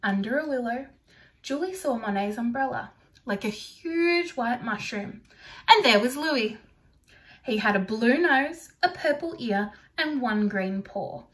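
Slow-paced young woman reading a storybook. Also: fairly high pitch, animated. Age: young adult. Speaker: storybook reader for primary children. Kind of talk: expressive read-aloud storytelling. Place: small furnished room indoors.

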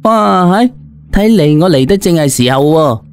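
Man playing casual young slacker, slightly high-voiced. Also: animated, moderate pace, smooth, soft background music. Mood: eager. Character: lazy, hungry young man, a former street hustler.

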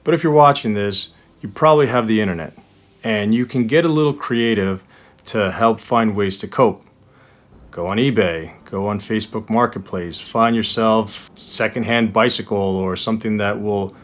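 A man talking to his viewers in a casual vlog, earnest and reflective, partly outdoors on a hike. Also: fairly low pitch. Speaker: vlogger. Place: mix of indoors and outdoors on a hike.

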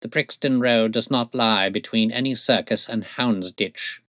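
Guy doing a brisk brainy gentleman detective, clipped and musing, storybook reading, dry close sound. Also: fairly low pitch, expressive, no room sound, pitched above the performer's own voice, natural voice. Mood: sharp. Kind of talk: audiobook character voice.

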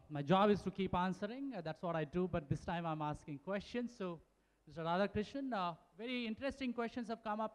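A man reading out audience questions at a conference, hesitant and full of fillers. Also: high voice. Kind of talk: Q&A moderation. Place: large conference hall stage, at podium. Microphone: handheld mic. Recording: long hall reverb.

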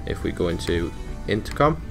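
Deep, gravelly-voiced man giving a tech tutorial. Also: big pitch swings, brisk, faint music behind. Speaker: technical support tutorial presenter. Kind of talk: casual step-by-step software walkthrough.

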